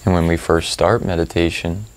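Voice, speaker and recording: A man leading a guided meditation as a teacher, calm and unhurried, leaving long pauses as he gives instructions. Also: low-pitched, a little hiss or hum.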